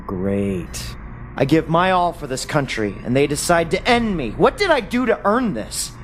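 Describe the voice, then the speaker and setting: An actor in an audio play as a bewildered, sarcastic secret agent who talks in an agitated, demanding way. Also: male voice, on the high side, very animated, normal speed, a little hiss or hum. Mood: bitter, suspicious. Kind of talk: audio drama acting.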